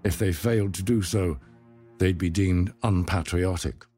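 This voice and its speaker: A man reading a scripted documentary narration in a measured, dramatic storytelling manner. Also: sits low, mellow, soft background music.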